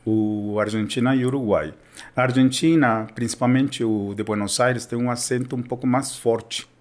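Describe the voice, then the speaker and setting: A man's voice: mid-range voice, steady tone, normal speed. A Spanish teacher from Peru who speaks Portuguese with a Peruvian Spanish accent, explaining in a casual educational chat and often checking in with his listener.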